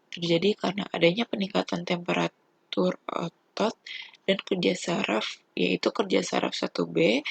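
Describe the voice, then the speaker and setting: A woman's voice: fairly low pitch, creaky, breathy edge, no room sound. A young woman with an Indonesian accent giving a formal, methodical student presentation. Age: young adult. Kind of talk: student presentation explaining a topic.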